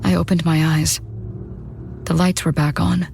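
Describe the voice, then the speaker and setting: A woman's voice: lowish voice, level tone, unhurried, gravelly, a little mellow. A woman narrating a first-person horror story in a dramatic storytelling style. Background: background music.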